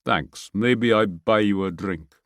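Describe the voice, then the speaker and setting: A man voicing a tough, proud Russian soldier who speaks slow, clipped, broken English in a Russian accent. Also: on the low side, no echo, studio quality. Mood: gruff.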